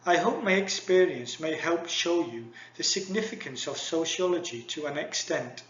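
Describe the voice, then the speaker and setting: Male lecturer, slightly raised pitch, formal scripted delivery, roomy muffled sound. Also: expressive, normal speed, fairly bright tone, a little hiss or hum. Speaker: university lecturer. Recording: echoey dull lo-fi recording.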